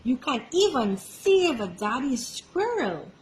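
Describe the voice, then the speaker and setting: Woman voicing a gentle, unhurried squirrel dad. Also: high voice, big pitch swings, a little hiss or hum, full character voice. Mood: encouraging, gentle. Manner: soothing, encouraging. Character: gentle, encouraging squirrel father. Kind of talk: children's storybook read-aloud.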